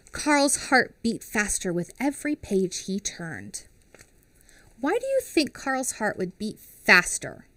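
Animated woman reading a picture book. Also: on the high side, no room sound. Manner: expressive, asks children questions. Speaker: teacher reading aloud. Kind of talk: children's picture book read-aloud. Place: small home studio with classroom backdrop. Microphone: headset mic.

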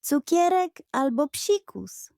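Storybook pug, voiced by a woman: greedy, lazy, huffy, pitched high and slow. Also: big pitch swings, vocal fry, a little mellow, no echo, clean studio sound, exaggerated voice. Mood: resigned. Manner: whiny and huffy, high and overexcited. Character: greedy, lazy, indignant male pug dog.

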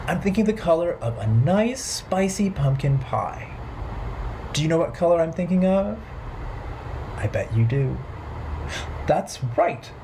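A man in his thirties hosting a children's storytime, playful and expressive, speaking simply in a rhythmic sing-song way. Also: on the high side, measured pace, pauses often, slight background noise, rough recording. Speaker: children's storytime host at a library. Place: bright living room at home.

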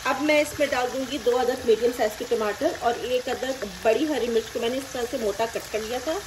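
A woman's voice: on the high side, fairly quick, noisy background. A woman hosting a cooking channel, explaining each recipe step plainly in a friendly voice-over. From Pakistan. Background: frying and sizzling.

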